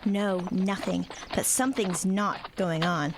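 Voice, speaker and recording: A woman's voice: fairly low pitch, takes it slowly, background noise. A woman playing a friendly, easily alarmed horse-riding girl in an audio drama. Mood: friendly, chatty.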